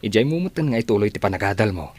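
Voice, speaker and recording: An actor playing a gentle middle-aged father who soothes his daughter, in a radio drama. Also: fairly low pitch, animated, very fast. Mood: reassuring.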